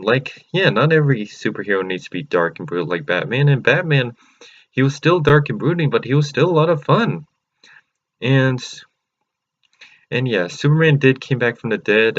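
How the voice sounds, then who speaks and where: A man hosting his own channel, chatting excitedly and rambling through a casual movie review with many fillers and restarts. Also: lowish voice, normal speed, lo-fi sound. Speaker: channel host.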